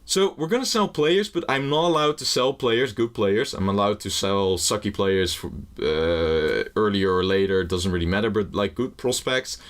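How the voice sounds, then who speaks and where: Rambling gaming commentator. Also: male voice, fairly low pitch, normal speed. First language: Dutch. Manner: rambling, jokey, swears casually; nervous first-video energy. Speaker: gaming YouTuber. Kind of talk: casual game commentary.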